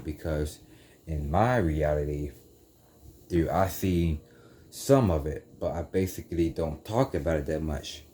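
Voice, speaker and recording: A young man with a deep voice, talking in a meandering, casual way and correcting himself as he goes through a spiritual monologue for his channel. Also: dark tone, slight background noise. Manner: meandering, self-correcting, casual slang like "dude". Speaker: YouTuber.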